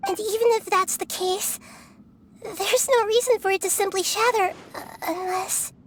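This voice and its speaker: Game casting: little nature deity, high girlish tone, calm and wise beyond her size, explains things gently. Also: background noise. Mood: troubled. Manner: calm, earnest, measured, explanatory. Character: small, gentle, wise childlike nature goddess. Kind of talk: anime-style video game voice acting. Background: game music.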